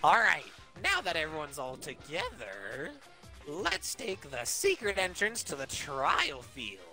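Man putting on a high, taunting mascot bear villain. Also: huge pitch swings, unhurried, sharp tone, soft background music, heavily acted. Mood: gleeful taunting. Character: gleefully menacing, mocking mascot bear villain.

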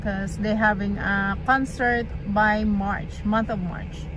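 A woman's voice: medium pitch, fairly even intonation, slow pace, background noise. A woman in her thirties chatting casually to vlog viewers in non-native English phrasing. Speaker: family vlogger. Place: in a car, then inside a busy market hall. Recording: music over the voice.

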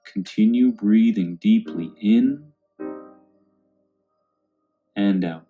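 A man calmly guiding a meditation in a soothing, unhurried way. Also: on the low side, phone-quality sound. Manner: calm, soothing, unhurried instruction. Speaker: meditation guide. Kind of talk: guided meditation narration. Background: background music.

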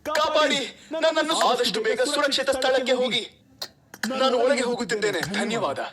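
Very high-pitched male actor's game soldier callouts. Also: a little fast, background noise. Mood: urgent callouts. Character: battle game soldier calling short squad commands. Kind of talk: scripted game voice-pack callouts.

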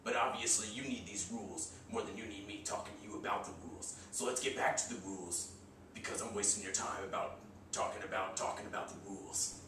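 A man's voice: on the low side, fairly even intonation, fast talker, noisy background. A young man in his twenties co-hosting a comedy sketch, reading viewer emails in a brash, crude rant. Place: small indoor room against a bare wall. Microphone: far camera. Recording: echoey room from far off.

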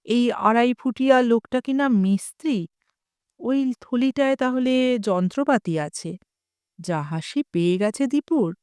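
A woman with a Bengali accent reading a story aloud in a slow, clear, expressive way. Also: fairly high pitch, no room sound, clean studio sound. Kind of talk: scripted story narration.

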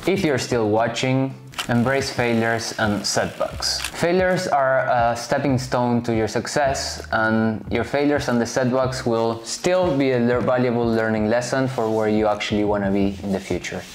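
A man's voice: mid-range voice, moderate pace, slight background noise. A man in his late twenties whose first language is Spanish, talking straight to camera in a casual, direct way with offhand asides. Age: late 20s. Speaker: vlogger. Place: bright home room, indoors.

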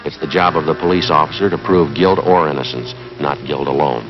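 An extremely deep-voiced American police sergeant from a 1950s TV drama, terse and matter-of-fact. He is clipped and methodical. The old soundtrack is dull, with prominent music underneath. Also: a little fast. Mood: deadpan. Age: 30s. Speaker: lead actor in a police drama. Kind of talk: 1950s TV police drama acting and scripted narration.

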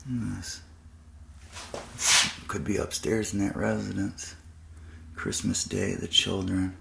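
A deep, gravelly man's voice speaks slowly and softly, often sinking into a near whisper as he looks around. It is picked up by a camera mic with clear noise running behind it. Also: level tone. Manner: hushed, half-whispered remarks while exploring. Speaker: urban explorer vlogger. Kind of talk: quiet walkthrough narration. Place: abandoned funeral home indoors. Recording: noisy camera audio.

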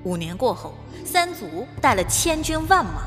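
A woman's voice: on the high side, very animated, moderate pace. A woman giving scripted, dramatic storytelling narration for a radio drama. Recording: loud music behind voice.

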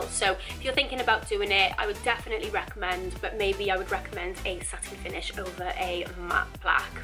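Chatty bright-voiced young woman vlogger. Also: mid-range voice. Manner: chatty, upbeat, rambling with restarts. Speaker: home renovation vlogger. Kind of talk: casual Q&A vlog. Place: indoors at home, virtual backdrops.

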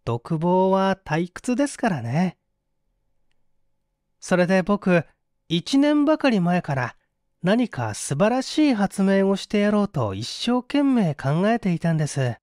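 A scheming male prisoner acted by a man reader speaks in a high, lilting voice. He is courteous and ingratiating, softly coaxing as in an audiobook drama, recorded close and dry. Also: very animated, slow, dry recording, heavily acted. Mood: slyly eager. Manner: soft-spoken, ingratiating. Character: sly, meek-seeming male prisoner, coaxing and polite.

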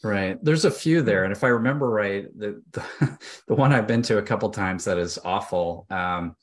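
Low-voiced man thinking aloud. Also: normal speed. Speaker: panelist. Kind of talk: casual chat. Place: indoor room, group video call.

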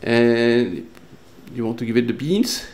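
A man's voice: mid-range voice, takes it slowly, darkish tone. A man chatting and narrating casually as the host of an electronics workshop video. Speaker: host of electronics restoration channel.

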